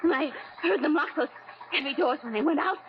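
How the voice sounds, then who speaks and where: Actress as high-voiced, fretful radio-thriller sidekick. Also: female voice, fairly quick, natural voice. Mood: anxious. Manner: stammering, fretful. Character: nervous young woman, crime-fighter's anxious sidekick. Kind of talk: 1940s radio drama acting. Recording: noisy, muffled old radio recording.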